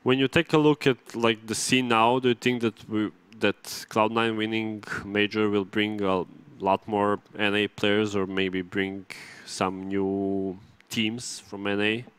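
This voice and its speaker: A Serbian-accented man hosts a casual esports desk show. His voice is smooth and fairly low, and he talks in halting bursts, restarting phrases and laughing between them. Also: little pitch movement, a bit bright, no echo. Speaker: studio desk host.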